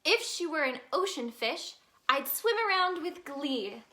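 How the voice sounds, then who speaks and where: A woman reading a children's story aloud in an expressive, sing-song way for young listeners. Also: high-pitched, a little slow. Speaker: children's storybook reader, in a tooth fairy persona.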